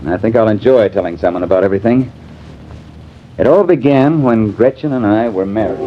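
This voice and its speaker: An actor playing a sardonic, disillusioned husband in a mannered, theatrical 1950s American radio drama style. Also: male voice, lowish voice, fairly quick, natural voice. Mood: wry, bitter. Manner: wry, mannered, theatrical delivery. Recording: dull, hissy vintage radio recording.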